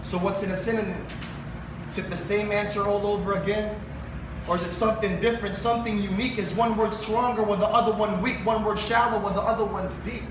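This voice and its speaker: A Filipino man with a high-pitched voice performs spoken word at a live event, mixing poetry with casual stage talk. The recording is distant and roomy, with clear background noise. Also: steady tone, fast. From Philippines. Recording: roomy, distant mic, noisy live venue.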